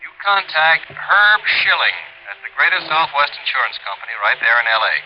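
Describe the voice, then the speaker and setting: An actor playing a businesslike, cautiously concerned American insurance agent on a phone call in a 1950s radio drama. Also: male voice, fairly high pitch, big pitch swings, brisk. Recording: dull, noisy old radio, telephone scene.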